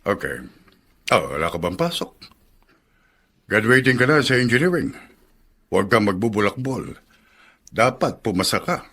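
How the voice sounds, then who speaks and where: A man playing a doting, dignified middle-aged congressman father in a Filipino radio drama, measured and theatrical. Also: lowish voice, sing-song, pushed and bright, slightly rough, exaggerated voice. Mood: fatherly, pleased. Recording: music under the voice.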